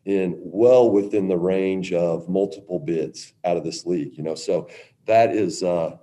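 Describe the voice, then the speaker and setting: A middle-aged man, a women's basketball coach, answering press questions in a halting way with many restarts. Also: deep voice, warm tone.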